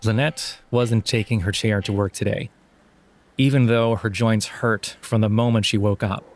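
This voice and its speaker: A man narrating a scripted audio drama in a measured storytelling delivery. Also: lowish voice, steady tone, slight background noise.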